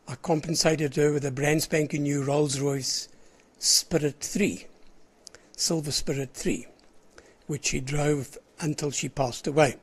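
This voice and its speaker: An older man with a South African accent, hoarse with a cold, chatting as the controller of an amateur radio net and rambling between greetings. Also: fairly high pitch. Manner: chatty and rambling, clears his throat often. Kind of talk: casual on-air net chat.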